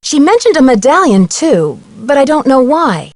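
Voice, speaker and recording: Young female amateur sleuth, inquisitive and sincere, high-pitched, close dry game recording. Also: big pitch swings, normal speed, no room sound. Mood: earnest, curious. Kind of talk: video game voice acting.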